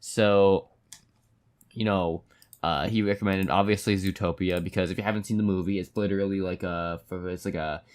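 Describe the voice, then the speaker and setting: Dude with a low voice, rambling casually thru a reaction vid intro. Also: male voice, fairly even intonation, normal speed. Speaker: reaction video host.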